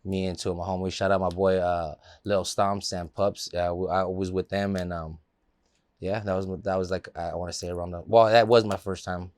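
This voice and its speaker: A man's voice: low-pitched, flat delivery, moderate pace, darkish tone, no room sound. A man from the Los Angeles area, a guest in a casual interview, telling stories in a loose, laid-back way with plenty of fillers.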